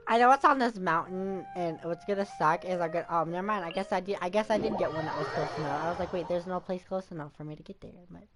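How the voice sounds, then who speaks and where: A woman's voice: fairly low pitch, fast, few pauses, very noisy. A woman giving chatty, slangy live gameplay commentary, often talking to the game. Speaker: game streamer. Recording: game music behind the voice. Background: video game music.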